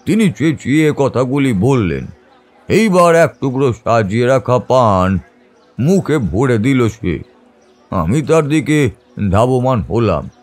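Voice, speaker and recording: A man narrating a literary first-person ghost story in a measured, dramatic storytelling manner. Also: mid-range voice, very animated. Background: background music.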